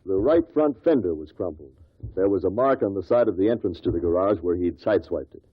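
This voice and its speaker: Guarded stockbroker witness, husky, matter-of-fact, dry vintage radio drama sound. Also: male voice, low voice, expressive, a little fast, no echo. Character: guarded businessman, male stockbroker giving testimony. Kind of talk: 1950s radio drama acting. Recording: tinny, dry old radio recording.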